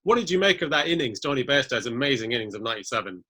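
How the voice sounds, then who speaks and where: A man's voice: medium pitch, sing-song, very fast, bright, forceful tone, husky. A man with an English accent hosting a casual podcast, talking in a chatty way with a lot of "you know" fillers. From England. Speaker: podcast host.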